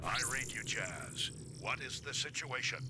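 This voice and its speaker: A man voicing a noble, calm male robot leader in a video game cutscene, speaking in a steady, formal, reassuring way with a deep, gravelly voice. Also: a little slow, very noisy, close to an everyday voice. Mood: resolute. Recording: game soundtrack music underneath.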